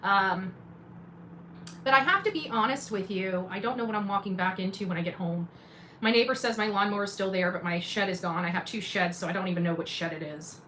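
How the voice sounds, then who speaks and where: Emphatic woman commentator with clear background noise. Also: medium pitch, brisk, bright, forceful tone, poor audio. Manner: emphatic, repeats phrases, sarcastic asides. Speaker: independent journalist and livestream host. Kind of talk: casual livestream commentary to viewers.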